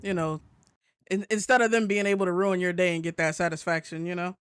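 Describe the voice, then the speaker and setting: A man's voice: high voice, steady tone, a little fast, fairly bright tone, clear, resonant tone, dry recording, clean studio sound. A man co-hosting a casual gaming news chat, hesitant in his phrasing and freely swearing. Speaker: podcast co-host.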